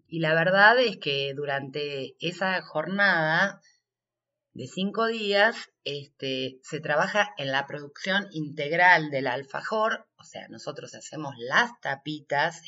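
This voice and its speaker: A woman's voice: low voice, bright, forceful tone, muffled recording. A woman with an Argentine accent answering interview questions as a workshop coordinator, explaining things step by step.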